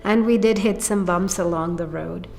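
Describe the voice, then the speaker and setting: A woman's voice: fairly low pitch, normal speed, vocal fry, darkish tone. A woman explaining a project step by step, in the manner of a documentary interview. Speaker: project team member. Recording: music bed under speech. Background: music.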